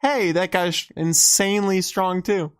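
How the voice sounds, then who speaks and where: A man's voice: high voice, a little slow, clear, resonant tone. A man co-hosting a podcast in casual chat, asking loose questions full of "like" and restarts. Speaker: podcast co-host.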